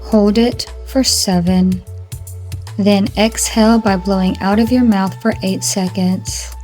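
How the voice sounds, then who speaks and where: A woman guides a hypnotic meditation with extremely unhurried, soothing instructions. Her tone is relaxed and mellow, at a middle pitch. Faint music plays behind her voice. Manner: slow, soothing, instructional delivery. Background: background music.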